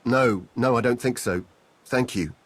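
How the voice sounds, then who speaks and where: A man's voice: medium pitch, unhurried, fairly bright tone, slightly rough, no room sound. An actor playing a weary male refugee in a video game, speaking earnestly and pleadingly. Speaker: refugee leader. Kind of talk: video game cutscene acting.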